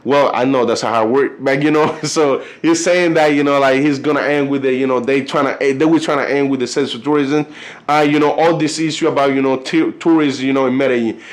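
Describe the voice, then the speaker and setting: A man's voice: mid-range voice, fairly even intonation. A man from Colombia with a Colombian Spanish accent, a native Spanish speaker talking casually to camera as a vlogger, rambling with lots of fillers and stumbling when he reads aloud. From Cartagena, Colombia. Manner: loose, rambling, constant "you know" and "like" fillers; halting when reading aloud. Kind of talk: casual video chat.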